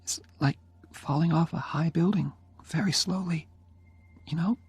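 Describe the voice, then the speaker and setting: A man's voice: fairly high pitch, unhurried, darkish tone, slightly rough, voice pushed up. A man voicing a dying young woman in an audiobook, mocking and bossy with tenderness underneath. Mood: gentle. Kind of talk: audiobook character reading.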